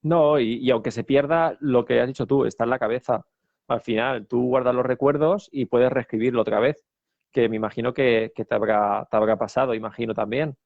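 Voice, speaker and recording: A man with a gravelly, creaky voice, recorded dry and slightly dull. As interview host he asks long, meandering questions, loaded with fillers and checks of "right?". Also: mid-range voice, steady tone, fairly quick, dry recording. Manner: rambling questions with fillers and tag questions. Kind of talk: interview conversation.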